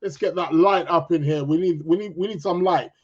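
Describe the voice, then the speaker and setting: A chatty man hosts a live stream with a fairly high voice that sounds croaky and a little stuffed up. He sounds upbeat and greets his listeners one by one. The recording is dull and lo-fi. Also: fairly quick. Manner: chatty, upbeat, gives viewers shout-outs. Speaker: podcast host.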